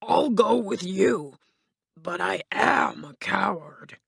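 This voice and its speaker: A woman's voice: medium pitch, lively intonation, takes it slowly, gravelly, husky, no echo, clean studio sound, pitched above the performer's own voice, full character voice. A woman voicing a cowardly male lion in a storybook, fearful and plaintive as he longs for courage. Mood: anxious resolve.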